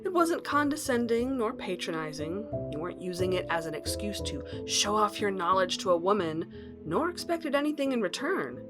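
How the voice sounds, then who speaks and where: A woman's voice: mid-range voice, very animated, normal speed, music in the background, close to an everyday voice. A woman playing a determined academic searching for her missing husband in an earnest audio drama. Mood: reflective. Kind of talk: audio drama acting and narration.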